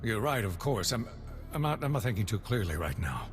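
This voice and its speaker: An actor playing a shaken rescued military captain in a video game, earnest and plain-spoken. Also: male voice, fairly low pitch, sing-song, brisk, big-room sound, soft background music. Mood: subdued, resolved. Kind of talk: scripted video game cutscene acting.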